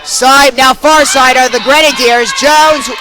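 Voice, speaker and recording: A young man calling live volleyball play-by-play, excitable and projecting over the action. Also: very high-pitched, measured pace. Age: young adult. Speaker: play-by-play sports announcer. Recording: crowd and gym noise behind.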